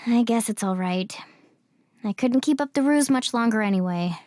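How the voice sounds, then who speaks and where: A woman's voice: a little high, normal speed, a little mellow, dry recording, studio quality, natural voice. A woman playing a composed, condescending game guide who is secretly a villain, in an anime game dub. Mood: smug, bitter. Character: game guide girl revealed as smug, scheming villain. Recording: dramatic background music.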